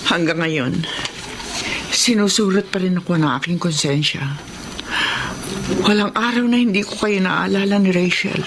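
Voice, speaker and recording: A woman's voice: lowish voice, normal speed, long pauses, close to an everyday voice. A woman playing a remorseful, pleading middle-aged mother in a melodramatic radio drama. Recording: noisy radio drama recording.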